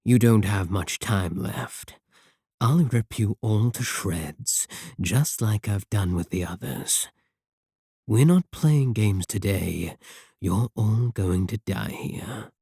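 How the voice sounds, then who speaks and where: Here is a man acting out a villain from an evil organization in an ASMR audio drama. His voice is low and very mellow, recorded dry. He speaks without hurry, condescending and sardonic, with a soft, steady threat. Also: creaky, husky, dry recording, full character voice. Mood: cold, menacing. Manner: unhurried, condescending, softly threatening. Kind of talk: scripted ASMR audio roleplay.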